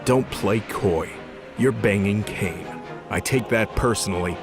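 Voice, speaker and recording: A man's voice: fairly low pitch, unhurried. A man playing a sardonic, petulant archangel in an audio drama, with dry, casual retorts. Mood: sulky. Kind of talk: scripted audio drama acting. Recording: loud music under the voice.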